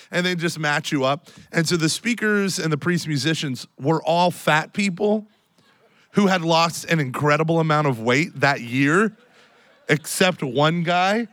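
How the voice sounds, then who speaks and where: An American man with an allergy-hoarse voice, co-hosting a live podcast with comic storytelling and wry teasing. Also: fairly high pitch, fairly bright tone, no echo. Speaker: podcast co-host and conference speaker. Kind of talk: comic storytelling, casual banter. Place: on stage before a live audience.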